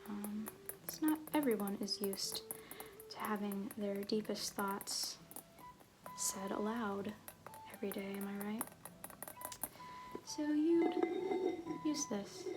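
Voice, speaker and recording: A woman playing a flirtatious, roguish circus fool in a soft-spoken ASMR roleplay with whispers, theatrical and sly, with hesitant pauses. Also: medium pitch, natural voice. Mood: casual, teasing.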